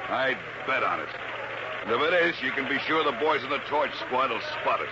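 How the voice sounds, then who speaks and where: A man plays a blunt American cop from a 1960s radio drama. He is gruff and insinuating, with slangy phrasing and a lively swing in his voice. The old recording is muffled and heavy with noise. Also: medium pitch, a little fast, close to an everyday voice. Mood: confiding, sure. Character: blunt, suspicious police lieutenant.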